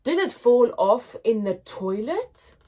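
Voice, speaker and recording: A woman's voice: a little high, big pitch swings, slow pace, warm tone, husky, exaggerated voice. A woman voicing nosy, blunt young children in a storybook, pestering with wide-eyed questions. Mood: suspicious, guessing.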